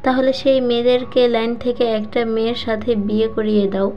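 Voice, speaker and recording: A woman's voice: a little high, level tone, normal speed, close to an everyday voice. A woman voicing an unyielding elderly grandmother in a story, blunt and mocking in manner. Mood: sarcastic. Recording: muffled lo-fi voice track with music.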